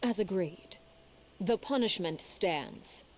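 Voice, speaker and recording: Cold composed game boss lady, low voice, quiet and formal, basically whispering. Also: faint music behind. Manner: measured, calm and formal, often near a whisper. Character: cold, composed female leader of an orphan house. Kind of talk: video game dialogue.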